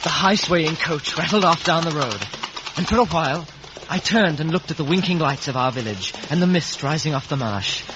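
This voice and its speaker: A man's voice: a little high, animated, moderate pace, natural voice. An actor playing an earnest, polite young man in a 1950 radio drama, both acting and narrating. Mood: reflective narration. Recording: muffled, hissy vintage radio recording.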